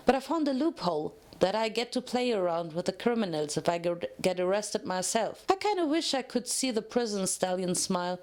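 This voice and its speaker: A woman's voice: on the high side, darkish tone, natural voice. Woman voicing a perky, naive pink pony. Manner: chatty, naive, affectionate. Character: bouncy, cheerful, clumsy female pink pony inmate. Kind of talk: dramatic fan fiction reading.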